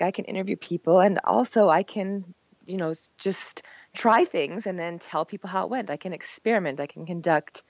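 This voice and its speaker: Hoarse woman with a cold. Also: low voice, no echo, dull audio. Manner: chatty, laughing, self-deprecating. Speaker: podcast guest, marriage blogger. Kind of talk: casual interview chat.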